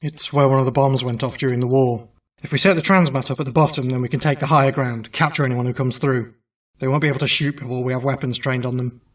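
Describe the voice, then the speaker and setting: Audio drama resistance leader, played by a man: grave, principled, humane, medium-pitched, earnest delivery. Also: fairly even intonation, quick pace, dry recording, close to an everyday voice. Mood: determined. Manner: earnest, serious.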